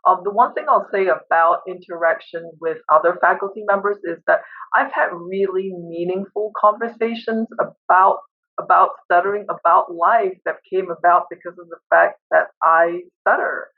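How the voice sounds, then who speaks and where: A woman's voice: lowish voice, steady tone, normal speed. A woman professor on a panel who stutters, repeating words and sound starts, speaking firmly and directly over a video call. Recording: tinny phone-like line.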